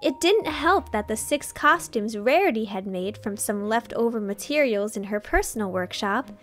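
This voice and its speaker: A woman's voice: on the high side, animated, normal speed, faint music behind. A woman reading a fan fiction story aloud as narrator, in a scripted storytelling style.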